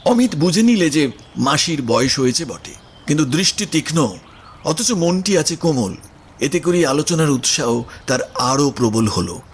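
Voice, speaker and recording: A man's voice: on the high side, animated, moderate pace, slight background noise. A man reading literary prose as the narrator of an audio story. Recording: music under the voice. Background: background music.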